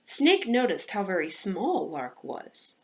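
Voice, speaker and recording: Woman music teacher, expressive and instructive. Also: medium pitch, takes it slowly. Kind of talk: instructional presentation, then storybook read-aloud.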